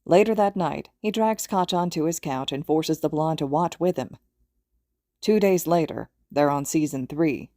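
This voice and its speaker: A woman's voice: sits low, measured pace, dark tone, no echo, studio quality. A woman reading a story aloud as a scripted audiobook narration. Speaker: podfic narrator.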